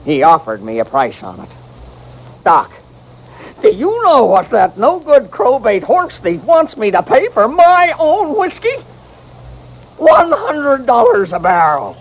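An actor playing a hot-tempered, put-upon American saloon keeper in an old-time radio western, blustering in a folksy, exasperated drawl. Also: male voice, very high voice, wildly swooping pitch, measured pace, dull audio, exaggerated voice. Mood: outraged. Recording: vintage radio recording with hiss.

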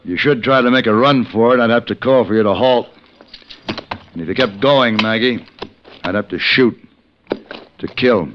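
An actor playing a middle-aged, courteous but hard gunman in a 1950s radio western, speaking in a measured, terse way. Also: mid-range voice, a little hiss or hum, lightly acted. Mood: stern, restrained. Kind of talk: 1950s radio western acting and first-person narration. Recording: dull vintage radio recording with music.

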